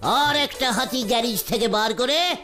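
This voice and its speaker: A boastful cartoon uncle, voiced by a woman. The delivery is slow and drawling, swinging widely in tone like a self-important storyteller, with music clearly underneath. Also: on the high side, animated. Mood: smug storytelling. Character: boastful idle uncle spinning absurd tall tales. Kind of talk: comic cartoon voice acting.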